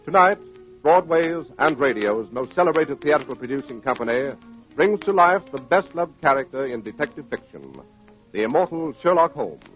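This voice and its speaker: A man making a formal, declamatory radio announcement in 1930s network style. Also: medium pitch. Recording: muffled, husky 1930s radio broadcast, music behind. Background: music.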